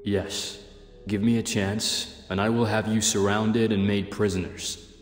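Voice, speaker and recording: Guy doing stoic young underworld boss for a video game, kinda deep n formal, echoey w music. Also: flat delivery, measured pace, close to an everyday voice. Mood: solemn, unyielding. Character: solemn young male underworld clan leader, dutiful, grave. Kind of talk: dramatic game cutscene acting. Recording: reverberant game audio with music behind.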